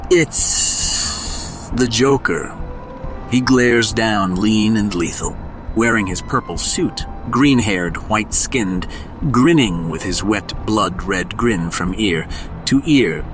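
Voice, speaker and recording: A man's voice: on the low side, slow, rough recording. A man narrating a scripted audio drama in a dramatic, descriptive storytelling manner. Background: music.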